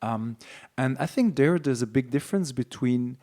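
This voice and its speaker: A man's voice: medium pitch, moderate pace, warm tone. A man in a casual panel discussion who speaks hesitantly, with many fillers and restarts. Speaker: podcast panelist.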